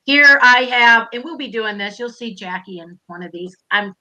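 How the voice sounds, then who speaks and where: Lively Minnesota woman in her fifties. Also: fairly high pitch, moderate pace, muffled recording. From Minnesota, USA. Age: 50s. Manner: excitable, talks straight to viewers, blunt. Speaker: host. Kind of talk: casual webinar chat.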